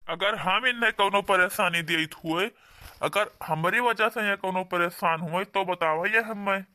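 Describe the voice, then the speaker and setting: A man's voice: high voice, unhurried, bright, forceful tone, full character voice. A man with an Awadhi accent playing an aggrieved village husband in a comedy cartoon, earnest, complaining and pleading. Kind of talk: amateur comedy cartoon dialogue.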